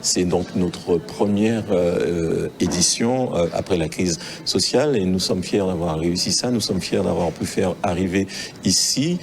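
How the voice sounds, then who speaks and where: A local official gives a formal statement to the press, stressing his points and repeating phrases. His voice is very deep. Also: unhurried, vocal fry.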